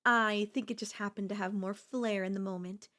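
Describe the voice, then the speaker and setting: A woman's voice: mid-range voice, steady tone, moderate pace, fairly bright tone, natural voice. A woman playing a doting, possessive girlfriend in an ASMR audio roleplay, speaking in flowery, coaxing endearments with a teasing elegance. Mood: delighted. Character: doting, possessive yandere girlfriend, sweetly insistent and theatrical.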